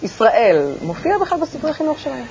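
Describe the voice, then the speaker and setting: A woman's voice: high voice, sing-song, a little mellow. A woman with an Israeli accent hosting a conversational television interview as the interviewer. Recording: muffled, noisy TV broadcast recording.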